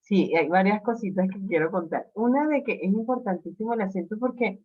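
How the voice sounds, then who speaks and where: A woman from Venezuela with a Venezuelan Spanish accent, telling a personal story in relaxed conversation. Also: mid-range voice, fairly quick, husky. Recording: slightly dull lo-fi audio.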